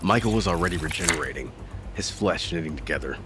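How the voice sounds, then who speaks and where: A man's voice: low voice, fast talker, noisy background, natural voice. An actor playing a battered, gritty fighter, narrating his own story in an audio drama with grim intensity. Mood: grim, weary. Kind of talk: dramatic first-person scripted narration. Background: loud music.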